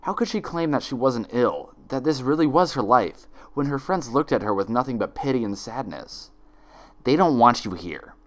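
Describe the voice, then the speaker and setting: Animated male storyteller with very lively pitch. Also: moderate pace, few pauses. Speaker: narrator. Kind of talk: dramatic fan fiction audiobook narration.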